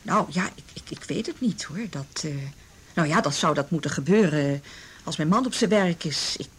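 A hesitant, anxious mother in a radio play, with a husky voice. She speaks haltingly and politely, holding back. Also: sits low, lively intonation, full character voice. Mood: reluctant, flustered. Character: hesitant, anxious mother, reluctant to get involved. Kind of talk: Dutch radio drama acting.

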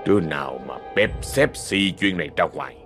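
A man voicing a cold, domineering rich old landowner who speaks gruffly and commandingly. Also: low voice, animated, brisk, over music, pitched below the performer's own voice. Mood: menacing.

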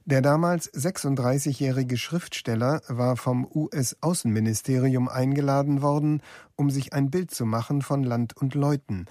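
A man's voice: medium pitch, dark tone, dry recording, studio quality. A man narrating a scripted radio documentary in a factual, composed manner.

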